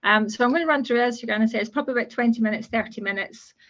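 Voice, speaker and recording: A woman with a Scottish accent giving an online careers presentation as a guest speaker, explaining in a steady, informal way. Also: a little high, very fast. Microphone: video call. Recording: dull lo-fi call audio.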